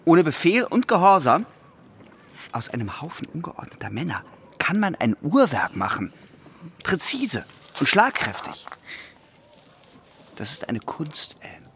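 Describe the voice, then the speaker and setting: A man's voice: a little high, huge pitch swings, measured pace, long pauses, a bit bright, slight background noise, full character voice. An actor playing an ambitious, dutiful young man in a German radio drama, earnest and lecturing. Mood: proud, earnest. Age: young adult.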